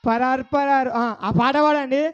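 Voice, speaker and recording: A man's voice: very high-pitched, fairly even intonation, moderate pace, fairly bright tone, clean tone, lo-fi sound. A man hosting a kids' bible puppet show, asking the children questions over and over.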